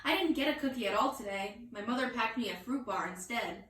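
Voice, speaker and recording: An exuberant little girl from a children's storybook, acted out by a woman reading aloud. She is bold and cheeky, pitched high, and repeats her words with great emphasis. The sound is roomy and a step away from the mic. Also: a little fast, fairly bright tone, slight background noise, lightly acted. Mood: eager, hinting. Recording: roomy echo, distant mic.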